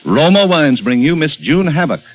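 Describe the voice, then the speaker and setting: Brief: vintage male announcer, lively emphatic pitch, dull old radio sound. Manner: measured, emphatic spelling out. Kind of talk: sponsor announcement. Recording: lo-fi old radio broadcast with music.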